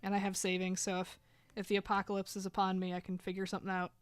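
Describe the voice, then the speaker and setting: A woman's voice: medium pitch, monotone, quick pace, clean tone, no echo. A woman in her thirties chatting casually while drawing on a live stream, rambling and filling gaps with "like". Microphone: desk microphone.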